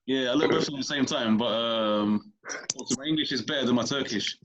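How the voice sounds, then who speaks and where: A man chats casually as a comedian guest on a podcast, rambling through his stories and stumbling over repeated words. His voice sits at an ordinary pitch. The recording sounds a little dull and muffled. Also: fast, a bit bright, a little hiss or hum.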